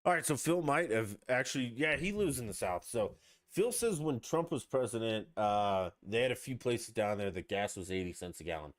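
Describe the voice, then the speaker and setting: A man's voice: mid-range voice, animated, moderate pace. A man hosting a podcast on his own, rambling and ranting with plenty of swearing. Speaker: podcast host. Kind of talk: casual solo podcast chat.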